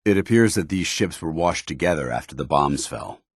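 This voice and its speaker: An actor playing a stern, principled, by-the-book soldier in a video game, with formal, clipped, commanding delivery. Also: male voice, rumbling low, expressive, normal speed, slightly rough, no room sound, studio quality, exaggerated voice. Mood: grave, observant. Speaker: video game companion character.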